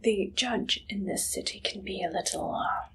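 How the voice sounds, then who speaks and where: A young woman playing an eager, shifty Victorian pie-shop keeper in an ASMR roleplay, breathy and whispery, halting and coyly secretive. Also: medium pitch, a bit bright, natural voice, poor audio. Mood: nervously chatty. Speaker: ASMR performer. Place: small dim indoor room.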